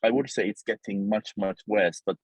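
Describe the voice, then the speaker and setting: Male journalist, Somali accent, low husky voice, earnest interview delivery. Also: normal speed, no echo. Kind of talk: reflective interview account.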